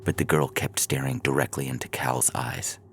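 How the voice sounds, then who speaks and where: A man's voice: very deep voice, steady tone, moderate pace, creaky. A man narrating a scripted science fiction story as a podcast host, reading in an audiobook style.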